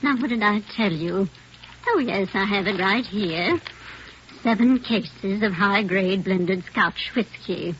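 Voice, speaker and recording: An actress playing a precise, officious warehouse auditor in a 1950s radio drama, speaking in a formal, orderly way. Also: female voice, medium pitch, takes it slowly, a bit bright. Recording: muffled, faintly noisy old radio recording.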